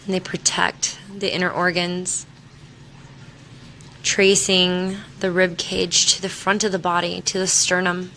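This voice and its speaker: A woman leading a guided meditation in a soothing, unhurried manner, leaving long pauses between short instructions. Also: fairly low pitch, completely flat delivery, sharp tone, a little hiss or hum. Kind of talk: guided meditation, body scan.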